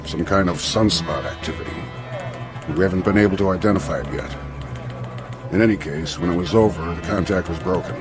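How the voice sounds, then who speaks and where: An actor playing a matter-of-fact mission control officer in a science fiction radio drama, speaking in clipped procedural phrases. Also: male voice, sits low, a little fast, over music, lightly acted. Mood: grave, matter-of-fact.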